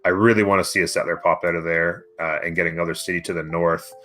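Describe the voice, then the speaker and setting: A man's voice: sits low, level tone, fast, sharp tone, faint music behind. A man co-casting a strategy game in a casual style, thinking aloud as he explains. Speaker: co-caster of a strategy game match. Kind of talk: casual live game commentary.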